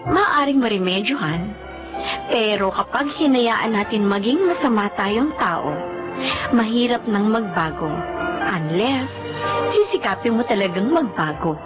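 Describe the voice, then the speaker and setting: A woman's voice: mid-range voice, lively intonation, unhurried, over music, close to an everyday voice. A woman playing an earnest, remorseful young woman in a radio drama. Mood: reflective. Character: earnest, remorseful young woman named Anika, reforming herself. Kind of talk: radio drama dialogue and reflective narration.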